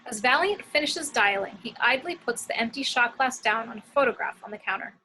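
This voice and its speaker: A woman's voice: a little high, animated, fairly quick, pushed and bright, slight background noise, low-quality recording. A woman reading scripted action descriptions as the narrator of a screenplay table read.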